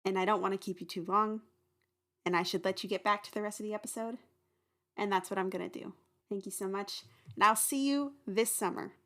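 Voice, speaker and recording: A woman's voice: medium pitch, fairly even intonation, brisk, bright, forceful tone. Woman giving a casual creator's update. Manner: friendly, direct to listeners. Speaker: podcast creator. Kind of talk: casual announcement.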